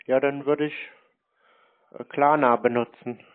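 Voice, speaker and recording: Deadpan guy, mid voice, playing dumb in casual phone chat, thin phone sound. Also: medium pitch, measured pace, narrow, tinny audio. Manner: deadpan, feigning naivety. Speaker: prank caller baiting scammers.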